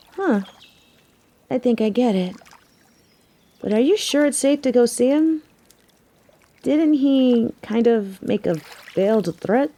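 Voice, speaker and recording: Mellow, snarky biker girl played by a woman. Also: mid-range voice. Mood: concerned, supportive. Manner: teasing, sarcastic. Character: biker girl, devoted human mate, teasing and snarky. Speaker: voice actor in an audio roleplay. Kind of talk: scripted audio drama acting.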